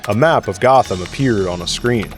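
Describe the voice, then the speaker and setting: A man's voice: fairly low pitch, moderate pace, soft background music. A man narrating an audio drama in a scripted storytelling style.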